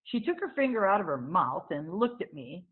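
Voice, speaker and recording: A woman narrates a children's book in an expressive read-aloud style. Her voice is slightly low and moves with lots of melody. The recording is thin and narrow, like a phone call. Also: moderate pace.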